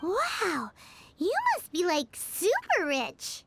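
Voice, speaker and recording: Very high squeaky childlike companion. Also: female voice, huge pitch swings, slow pace, gravelly, a bit bright, slight background noise, heavily acted. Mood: amazed. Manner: bubbly, exclamatory. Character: small childlike floating companion, cheerful, eager, chatty. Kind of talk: video game voice acting. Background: game music.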